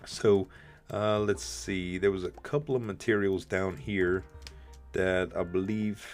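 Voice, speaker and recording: A man with a deep voice, a bit rough from the morning, casually thinking aloud while building in a game he hosts. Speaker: let's play host. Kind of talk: casual gameplay commentary.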